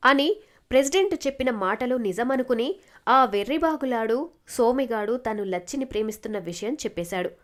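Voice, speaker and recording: Higher-pitched woman narrating a story. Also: expressive, moderate pace. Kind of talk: scripted moral story narration.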